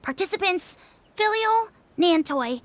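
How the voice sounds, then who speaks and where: A woman's voice: very high voice, expressive, a little slow. A woman voicing an eager young child in a video game, with a childlike voice. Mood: obedient.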